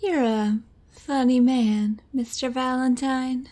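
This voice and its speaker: A mellow, high female voice an actress in role as a mysterious rich widow in a noir audio drama. She is cool and poised, taking her words very slowly. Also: fairly even intonation. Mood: cool, sultry. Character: cool, mysterious, wealthy femme fatale widow.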